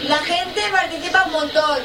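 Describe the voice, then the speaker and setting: A woman's voice: very high voice, fairly even intonation, normal speed, very noisy. A woman casually answering interview questions. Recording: echoey, distant, loud music.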